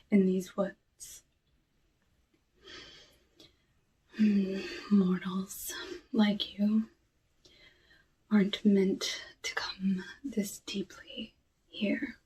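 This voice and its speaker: A woman playing a lonely, courteous, slyly menacing forest witch in an ASMR roleplay, speaking softly and often whispering in formal, deliberate phrases. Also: mid-range voice, far from the mic, slight background noise, low-quality recording. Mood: hushed, welcoming. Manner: hushed, slow, deliberate, formal old-fashioned phrasing.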